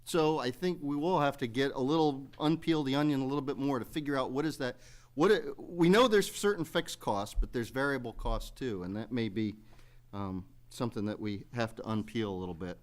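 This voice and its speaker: A man speaking off the cuff as he chairs a public township meeting, measured and conciliatory, with folksy phrasing. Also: mid-range voice. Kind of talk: unscripted remarks at a public meeting.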